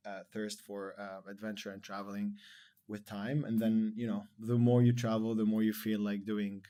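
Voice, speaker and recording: A man in his thirties from Lebanon, a podcast guest talking in a relaxed, rambling way with many fillers. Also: on the low side, normal speed. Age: 30s. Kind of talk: casual interview chat.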